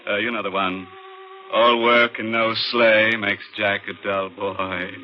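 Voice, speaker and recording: A man's voice: on the low side, level tone, measured pace, close to an everyday voice. A man playing a gleeful, ghoulish 1940s radio horror host, sardonic and mock-confiding as he delivers morbid puns. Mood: gleefully morbid. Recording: muffled old radio with music.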